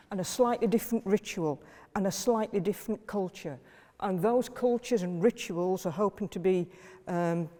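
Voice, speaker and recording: A woman historian giving a public lecture in a measured, list-like way with occasional fillers. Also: medium pitch, mellow, in a large hall.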